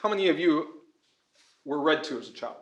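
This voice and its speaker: Higher-voiced man lecturing in an echoey room. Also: animated, a little fast, highs cut off. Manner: earnest, informal, often adds "right?" as a check. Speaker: pastor, schoolteacher and conference speaker. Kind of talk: conference lecture with audience questions. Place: room at a church and school, before an audience.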